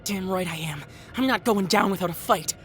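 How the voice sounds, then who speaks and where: A woman's voice: fairly low pitch, brisk, faint music behind, close to an everyday voice. A woman playing a bitter, defiant eldest daughter in an audio drama, blunt, sardonic and combative. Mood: wary, defiant. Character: defiant, bitter firstborn daughter fighting for her siblings.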